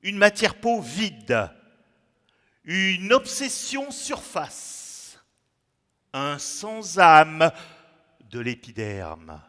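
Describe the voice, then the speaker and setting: A man reciting his own poem theatrically, with dramatic pauses and sudden swings between hushed and loud delivery. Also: fairly high pitch, big pitch swings. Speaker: poet performing his own text. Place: large echoing museum gallery, indoors. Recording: reverberant hall echo.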